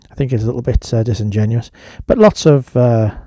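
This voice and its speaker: A man's voice: mid-range voice, a little fast, warm tone, breathy edge, no echo, studio quality. A man giving a casual voice-over review as a vlogger.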